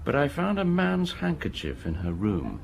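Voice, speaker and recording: An actor playing a diligent local police inspector who reports his findings in a brisk, matter-of-fact way in a radio drama. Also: mid-range voice, wildly swooping pitch, creaky, slight background noise.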